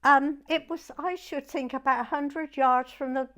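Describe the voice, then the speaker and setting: A woman in her nineties with a southern English accent, reminiscing in an interview in a halting way, pausing to recollect. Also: sits high, steady tone, a bit bright. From southern England. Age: 90s. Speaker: oral history interviewee.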